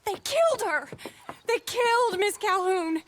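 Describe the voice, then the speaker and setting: Casting: melodramatic young Southern woman on the run, high voice, breathless, western game, slight background noise. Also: expressive, unhurried, a bit bright, heavily acted. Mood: distraught. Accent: American South. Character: frantic young Southern belle fleeing her murderous family. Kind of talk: cinematic western game acting.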